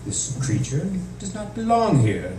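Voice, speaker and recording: A man's voice: on the high side, wildly swooping pitch, a little slow, mellow, voice pushed up. A man voicing a tall, theatrical, menacing crow in a storybook reading, pointed and taunting, with a squawk. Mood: menacing, mocking. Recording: echoey noisy room, distant mic.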